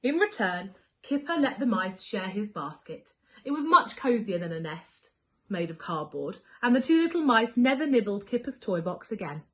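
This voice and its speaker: Animated woman reading stories to children. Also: medium pitch. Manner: emphatic, drawn-out key words for children. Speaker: school storyteller. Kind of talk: expressive children's story reading.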